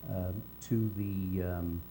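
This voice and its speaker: Old south african guy reminiscing in an interview, low voice, really dark and soft, kinda halting. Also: steady tone. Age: older. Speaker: interviewee, veteran cinema cameraman. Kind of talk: on-camera reminiscence. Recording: dull low hum, faint background noise.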